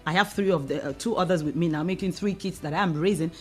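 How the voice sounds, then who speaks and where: A woman's voice: lowish voice, quick pace. A woman with a Nigerian accent argues in a panel discussion, insistent and repeating her phrases for emphasis. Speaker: radio panelist.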